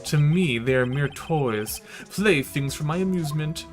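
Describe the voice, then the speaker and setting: A man's voice: a little high, expressive, measured pace, over music. A man voicing an arrogant, pompous corporate executive from a visual novel, with florid, grandiose delivery. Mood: dismissive, smug. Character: pompous, arrogant male corporate executive with mangled big words. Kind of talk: visual novel villain read aloud.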